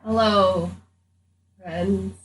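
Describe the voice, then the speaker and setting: A woman's voice: medium pitch, steady tone, drawn-out words, faint music behind. A woman bantering casually as a player in a tabletop roleplaying game. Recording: echoey room, distant mic.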